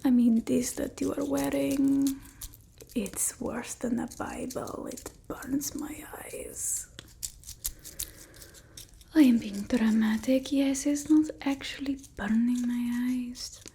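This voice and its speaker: A woman's voice: sits high, fairly even intonation, takes it slowly, gravelly, mellow, a little hiss or hum, full character voice. A woman voicing a haughty, fussy but doting vampire mistress in a whispered ASMR roleplay, with a put-on Eastern European accent and an imperious, teasing murmur. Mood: disdainful, teasing.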